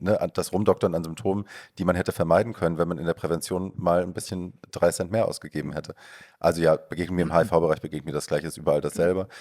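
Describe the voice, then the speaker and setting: A man with a very low voice hosts a casual podcast. He is slightly hoarse from a cold and rambles through his questions with many fillers. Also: a little mellow. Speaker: podcast host.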